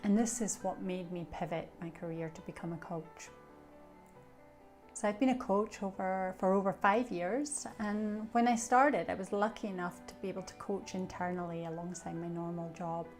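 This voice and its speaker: Scottish woman, low voice, faint music. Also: fairly even intonation, moderate pace, a little mellow. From Scotland. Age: middle-aged. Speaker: coach, association treasurer. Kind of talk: self-introduction and coaching talk.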